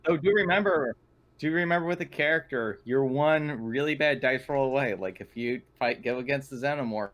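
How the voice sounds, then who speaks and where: A man's voice: medium pitch, brisk, fairly bright tone, rough recording. A man talking casually as a player after a tabletop role-playing game. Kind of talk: casual post-game chat.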